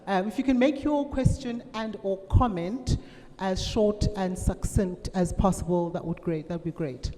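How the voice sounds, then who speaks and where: A woman moderating a forum Q&A, speaking in a measured, hesitant way with many pauses. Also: medium pitch, mellow. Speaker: panel moderator. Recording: echoey conference hall, faint noise.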